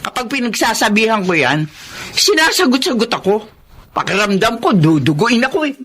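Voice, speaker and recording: A woman's voice: a little high, sing-song, moderate pace, a bit bright, a little hiss or hum. A Filipino woman acting as a fretful, protective middle-aged mother in a radio drama. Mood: exasperated.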